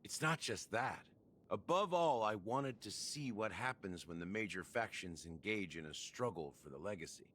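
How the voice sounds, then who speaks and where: A man playing a shrewd, secretive veteran schemer in a video game, telling his story in a measured, knowing and theatrical way. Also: fairly low pitch, animated, a bit bright. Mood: knowing, wry. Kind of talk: scripted game dialogue, expository storytelling. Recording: studio voice over background music.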